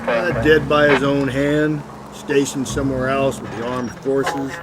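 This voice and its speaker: An actor playing a cynical older American homicide detective in a noir video game, sardonic, blunt and lecturing. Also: male voice, medium pitch, little pitch movement, unhurried, heavy background noise, natural voice. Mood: gruff lecturing. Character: cynical, world-weary older male homicide detective partner. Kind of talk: video game dialogue acting, 1940s noir.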